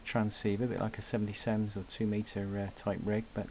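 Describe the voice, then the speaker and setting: A man with a fairly low voice presents gear in an informal hobbyist demo. He speaks haltingly, pausing often. The indoor camera recording carries faint background noise. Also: level tone, darkish tone. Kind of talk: unscripted product demonstration. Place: indoor room at a radio desk. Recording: clear background noise, clicks.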